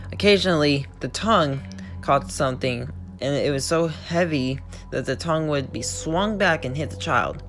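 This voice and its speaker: A young man reading a creepypasta aloud in an amateur way, stumbling and correcting himself, then chatting casually about it. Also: a little high. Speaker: creepypasta reader. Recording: music playing behind the voice.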